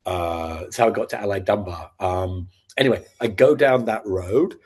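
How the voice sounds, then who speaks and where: Husky-voiced Scottish man with a neutral British accent. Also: on the low side, moderate pace. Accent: neutral British, not Scottish-sounding. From Scotland. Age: 50s. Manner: lively storyteller acting out his past self in quotes. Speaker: podcast guest, sales founder. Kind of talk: animated storytelling in casual chat.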